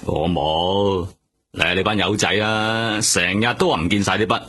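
An actor with a Hong Kong Cantonese accent playing a self-assured, offhand veteran police detective in a radio drama. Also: male voice, fairly low pitch, normal speed, pushed and bright, slightly rough. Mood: offhand, cagey. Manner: offhand, streetwise.

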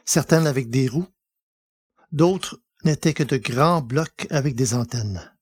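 Slow, deliberate male storyteller. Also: medium pitch, a little mellow, breathy edge, no echo. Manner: deliberate, pausing between phrases. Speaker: narrator. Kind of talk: scripted literary narration.

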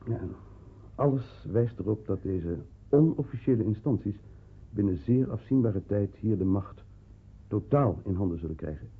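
An actor playing a courteous, grave consulate official in a radio drama, speaking formally and with measured emphasis. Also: male voice, fairly low pitch, expressive, vocal fry, husky, full character voice. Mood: grave, insistent. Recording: muffled, phone-like old radio recording.

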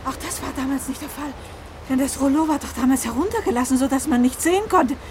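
Casting: actress as shrewd, agitated female amateur sleuth, high urgent voice, radio drama with light background noise. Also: fast, darkish tone, lightly acted. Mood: puzzled, probing. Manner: urgent, emphatic, dramatic delivery. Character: crime novelist woman, shrewd, accusing, agitated amateur sleuth. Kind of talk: German radio drama acting.

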